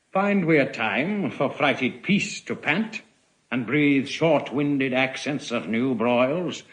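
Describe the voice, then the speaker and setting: Slow, solemn, careworn king. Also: mid-range voice, low-quality recording. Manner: declamatory, measured, solemn oratory. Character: weary, careworn English king, grave and regal. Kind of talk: classical Shakespearean audio drama acting.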